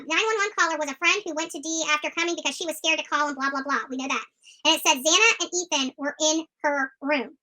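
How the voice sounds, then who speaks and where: A commentator with an extremely high-pitched voice and a sharp, bright edge. The delivery is opinionated true crime commentary, combative and emphatic, with points hammered home by repetition. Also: low-quality recording. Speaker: online commentator.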